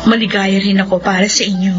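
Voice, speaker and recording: A woman's voice: mid-range voice, level tone, quick pace, lightly acted. A woman acting a supportive, chatty young best friend in a romantic radio drama. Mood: friendly, supportive. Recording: muffled lo-fi audio, clear music.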